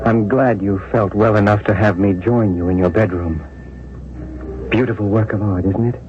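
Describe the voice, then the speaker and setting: An actor as a low-voiced, earnest nephew. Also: male voice, fairly even intonation, darkish tone, close to an everyday voice. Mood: courteous, earnest. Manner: courteous, measured. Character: polite, earnest young nephew, narrating and gently questioning. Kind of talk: 1970s radio drama acting and narration. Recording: dull, faintly hissy 1970s radio recording.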